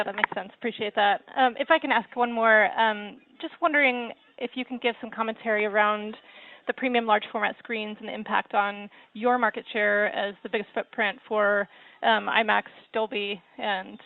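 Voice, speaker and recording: A female analyst asks questions on an earnings call. Her voice is mid-range in pitch and comes through a thin, band-limited phone connection. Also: fairly even intonation, normal speed, creaky. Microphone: phone line. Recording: tinny phone-line conference call.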